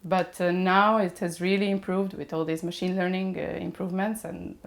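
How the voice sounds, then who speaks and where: Serbian woman with a low gravelly voice. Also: little pitch movement, moderate pace. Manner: explains patiently with many hesitations. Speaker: guest; mechatronics engineer. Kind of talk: podcast interview.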